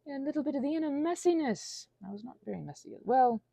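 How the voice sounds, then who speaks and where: A woman's voice: high voice, expressive, fast, gravelly, mellow, no room sound. A woman giving a casual, rambling monologue, whimsical and self-deprecating as her thoughts wander. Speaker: audio creator rambling to listeners.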